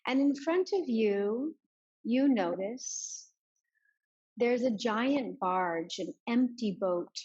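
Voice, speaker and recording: A woman leading a guided meditation as a yoga teacher, giving soft instructions with many pauses. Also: on the high side, lively intonation, husky, lo-fi sound.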